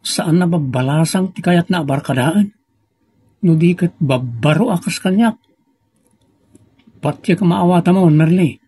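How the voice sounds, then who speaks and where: A man's voice: a little high, unhurried, pauses often, mellow, no room sound. An actor with a northern Philippine accent playing a suspicious, gossiping man in an Ilocano comedy drama. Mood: suspicious, teasing. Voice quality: husky, creaky. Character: suspicious, gossiping man uneasy about friend's father. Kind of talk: Ilocano comedy radio-style drama acting.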